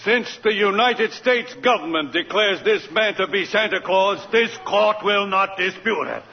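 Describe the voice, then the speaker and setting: A man's voice: high voice, a little slow. An actor in a 1940s radio play voicing a stern, commanding male judge, on a dull old broadcast recording.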